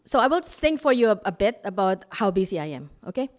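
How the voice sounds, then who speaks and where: A woman's voice: medium pitch, very animated, quick pace. A woman in her forties from Indonesia, with an Indonesian accent tinged with Australian, telling self-mocking stand-up stories and laughing at her own jokes. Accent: Indonesian with Australian traces. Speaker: stand-up comedian. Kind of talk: live stand-up storytelling.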